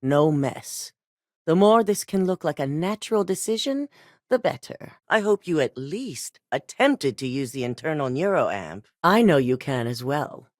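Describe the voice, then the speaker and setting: A woman's voice: deep voice, big pitch swings, unhurried, darkish tone, no room sound, clean studio sound. An actress voicing a cold, ruthless woman corporate executive who gives clipped, commanding orders in video game dialogue.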